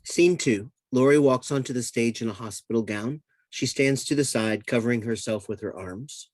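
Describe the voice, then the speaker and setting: Brief: male reader of stage directions, plain and even, dry close sound. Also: medium pitch, moderate pace, no echo.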